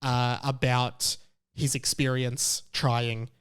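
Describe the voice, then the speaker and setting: A man in his thirties hosting a podcast, giving casual solo commentary in a chatty, animated storytelling way. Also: mid-range voice, slow, heavy background noise. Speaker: podcast host.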